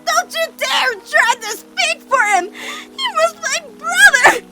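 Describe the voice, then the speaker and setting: A woman's voice: very high-pitched, very animated, takes it slowly, over music, heavily acted. A woman voicing a guilt-ridden fourteen-year-old girl in an emotional cartoon fan dub, blaming herself with dramatic intensity. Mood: anguished outburst. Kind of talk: animated-series fan dub drama acting.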